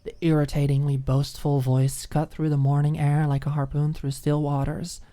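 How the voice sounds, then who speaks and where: A woman's voice: low-pitched, flat delivery, moderate pace, dark tone. A woman reading scripted story narration as an audiobook narrator.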